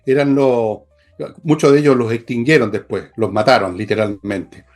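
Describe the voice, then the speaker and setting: A Chilean man hosts a political talk show in a mid-pitched voice. He is blunt and scornful, pressing his opinions emphatically and dropping in coarse slang, with music present in the recording. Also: normal speed. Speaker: political commentator and show host.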